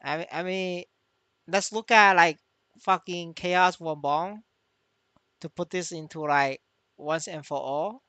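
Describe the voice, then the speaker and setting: Male gaming streamer, bright sharp tone, dry recording, stammering and repetitive, casual and sweary. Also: on the high side, steady tone, takes it slowly, long pauses, clean tone, no echo. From Vietnamese. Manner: stammering, repeats words, swears freely. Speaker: game streamer. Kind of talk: casual livestream chat.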